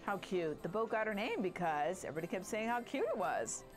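A woman's voice: medium pitch, sing-song, fairly quick. A woman co-hosting a parade broadcast with gushing, exclamatory, enthusiastic commentary. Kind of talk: enthusiastic live event commentary. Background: music.

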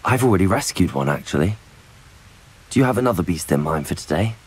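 A man's voice: sits low, lively intonation, fast, darkish tone, husky. An actor playing a polite, composed young male student wizard in a video game. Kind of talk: scripted video game character acting.

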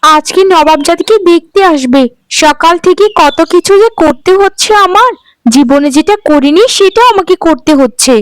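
A woman playing a spiteful, bossy sister-in-law in a cartoon, nagging with a scornful, sharp tongue. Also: high-pitched, moderate pace, no room sound, close to an everyday voice, rough recording. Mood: resentful grumbling. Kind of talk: Bengali cartoon voice acting.

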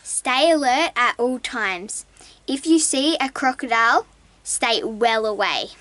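Girl reading off a phone in the boat cabin, slow and stumbly, pitch a bit high. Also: expressive, fairly bright tone, no echo. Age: young. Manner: halting, stumbling reader. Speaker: daughter of the sailing family.

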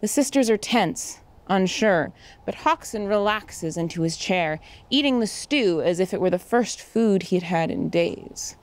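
A woman's voice: medium pitch, animated, measured pace. A woman reading scripted narration and stage directions for an audio drama.

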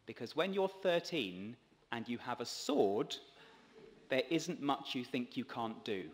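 A male priest gives a prepared sermon at a lectern microphone. His voice sits at a medium pitch, with careful, measured phrasing. Long reverb from a large stone church follows his words. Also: lively intonation. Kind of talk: sermon read from a script. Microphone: gooseneck lectern microphone.

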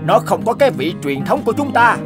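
An indignant old cartoon traditionalist. His voice is high and very animated, full of grumpy complaint, with music behind. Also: fairly quick. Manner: complaining, scolding. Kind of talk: Vietnamese cartoon dub.

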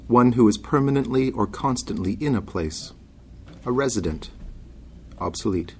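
A man's voice: medium pitch, lively intonation, normal speed, a little hiss or hum. A man giving a scripted reading of poetry in brief phrases.

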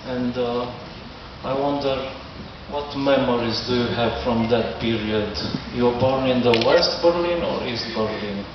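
A man's voice: medium pitch, unhurried. Middle-aged male host, formal interviewer, roomy distant handheld-mic sound. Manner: formal, structured questioning. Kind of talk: festival stage interview. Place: large festival tent lounge with audience. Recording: echoey, distant, dull with noise.

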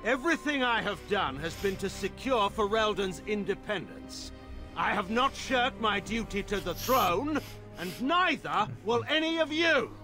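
An actor playing a stern, commanding nobleman regent in a fantasy game, declaiming forcefully. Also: high-pitched, lively intonation, unhurried. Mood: angry, defiant. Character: stern, commanding armored nobleman regent addressing assembled lords. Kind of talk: dramatic fantasy game acting. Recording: loud music behind.

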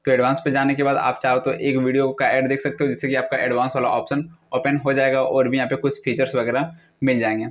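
A man's voice: medium pitch, flat delivery, fast. A young man narrates a casual how-to tutorial, mixing English words into step-by-step instructions. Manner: repetitive, instructional phrasing with English words mixed in. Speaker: tutorial video host. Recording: dull lo-fi recording.

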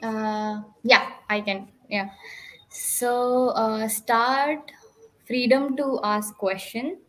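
Young woman, student panelist, slightly high pitch, very slow pace, hesitant, brief replies. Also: fairly even intonation, a bit bright, low-quality recording. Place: indoors, on a video call.

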